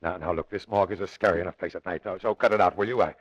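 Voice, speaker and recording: A man playing a nervous, evasive night morgue attendant in old-time radio drama, stammering and folksy, with a husky voice. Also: sits low, fairly even intonation, very fast. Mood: frightened, evasive. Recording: muffled old radio recording.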